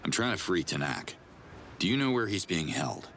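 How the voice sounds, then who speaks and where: Deep-voiced wry action hero, animated. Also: male voice, normal speed, a little hiss or hum, full character voice. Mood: concerned, steady. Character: rugged human hero, blunt, wry soldier helping villagers. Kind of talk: video game cutscene acting.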